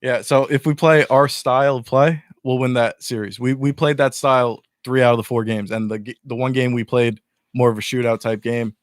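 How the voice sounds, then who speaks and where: A man's voice: medium pitch, fairly even intonation, a little fast, no echo, studio quality. A young man guesting on a casual chat show, earnestly breaking down his team's chances. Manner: earnest, explains at length with restarts.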